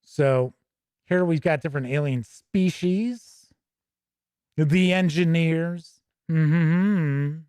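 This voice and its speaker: A man with a slightly high voice hosts a casual hobby livestream. He talks informally, thinking aloud as he browses and chats to viewers. The sound is dry, with very little room in it. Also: drawn-out words, pauses often, a little mellow, smooth, no room sound. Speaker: host of a tabletop gaming news and review show. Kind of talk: casual livestream walk-through of a game book, chatting with viewers.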